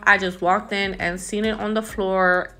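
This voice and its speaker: A woman's voice: medium pitch, flat delivery, unhurried, bright, forceful tone. Chatty mom narrating her cleaning. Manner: chatty, upbeat narration with small laughs. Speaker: stay-at-home mom vlogger. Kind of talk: casual cleaning vlog voice-over.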